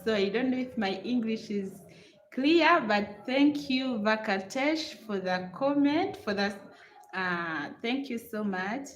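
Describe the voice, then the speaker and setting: Ugandan woman telling a slow, chatty story. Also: on the high side, lively intonation, echoey room, slight background noise. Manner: chatty, repeats herself, addresses viewers as guys. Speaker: vlogger. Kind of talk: live-stream storytelling with chat replies. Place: her room, indoors.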